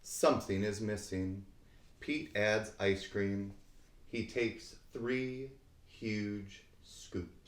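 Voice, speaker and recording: A man reading a children's picture book aloud expressively, with deliberate phrasing and dramatic emphasis. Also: lowish voice. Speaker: read-aloud host. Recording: roomy, echoing sound.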